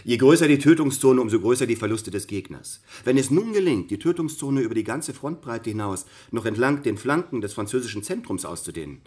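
An actor playing a didactic military expert who gives sure, lecturing analysis in a radio drama. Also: male voice, medium pitch, animated, brisk, hardly any pauses, a little mellow, husky. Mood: assured explaining.

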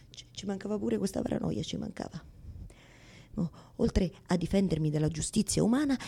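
A woman's voice: lowish voice, dark tone. An anguished woman pleading with God. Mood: worried, reproachful. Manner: halting repetitions, pleading, swings from murmurs to outcries. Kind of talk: theatrical monologue, radio theatre.

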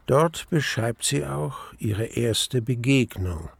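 A man playing a grave narrator in a radio drama, with a gravelly, husky voice and measured, deliberate delivery. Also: medium pitch, expressive, no echo, full character voice. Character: grave male narrator investigating a dark mystery.

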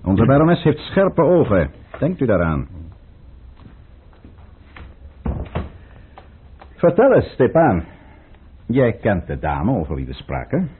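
An actor playing a cold, scheming Russian count in a Dutch radio play, theatrical and insinuating. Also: male voice, medium pitch, wildly swooping pitch, measured pace, breathy edge, full character voice. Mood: commanding, sly. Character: scheming Russian count, commanding, cold, silky courtly conspirator. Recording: muffled, band-limited old radio recording.

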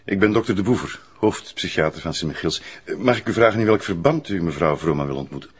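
Deep, formal psychiatrist. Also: male voice, lively intonation, slightly rough. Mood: formal, guarded. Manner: measured, formal, polite. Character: formal, reserved male chief psychiatrist guarding his patient. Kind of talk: radio drama acting. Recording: noisy radio drama recording.

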